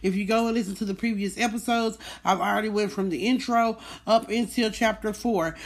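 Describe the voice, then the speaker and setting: A woman's voice: mid-range voice, steady tone, fairly quick. A woman hosting a podcast, talking in a casual, informal way and repeating phrases for emphasis. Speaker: podcast host. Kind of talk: casual chatty monologue.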